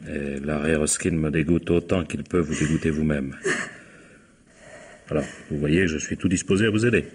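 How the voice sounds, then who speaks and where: An actor playing a sardonic, dogged police inspector in a French radio mystery, prodding with irony and drawn-out interjections. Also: male voice, very deep voice, a little mellow, breathy edge. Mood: soft, insistent. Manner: ironic, prodding, drawling interjections. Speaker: actor in a radio crime drama.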